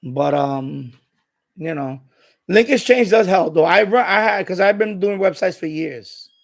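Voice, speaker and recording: Male streamer, middle-aged, slightly high voice with heavy fry; casual, repetitive, explanatory delivery. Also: a little slow. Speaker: live stream host. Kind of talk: casual unscripted live stream chat.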